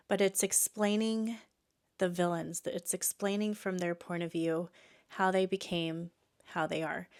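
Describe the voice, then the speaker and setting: Texas mom hosting her podcast, bit congested from allergies, lower voice, talks in a chatty rambly way. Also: clean tone. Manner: chatty, with frequent "um"s and restarts. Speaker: podcast host. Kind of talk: casual chat.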